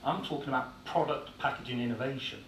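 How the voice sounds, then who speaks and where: A man's voice: medium pitch, fairly even intonation, fast talker. Irish-born man with an English accent, echoey hall. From Ireland. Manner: blunt, informal, with frequent "uh" fillers. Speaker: conference speaker. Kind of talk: conference presentation. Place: conference hall. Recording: echoey hall, distant mic, faint noise.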